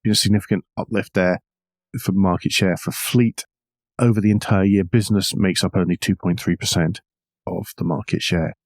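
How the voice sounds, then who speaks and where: Male podcast host for news commentary: low voice, measured, wry, tight dry sound. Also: fairly even intonation, dry recording.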